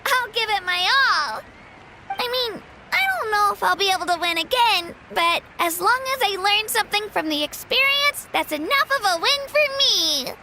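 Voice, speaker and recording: Very high-voiced earnest girl warrior. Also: expressive, moderate pace, heavy background noise. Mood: upbeat, determined. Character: young girl warrior, earnest, modest and plucky. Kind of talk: animated video game voice acting.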